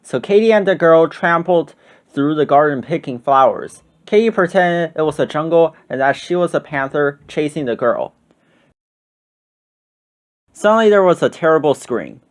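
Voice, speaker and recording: Slightly high-voiced man reading a storybook. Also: expressive, moderate pace. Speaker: storybook reader. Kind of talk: expressive scripted children's narration.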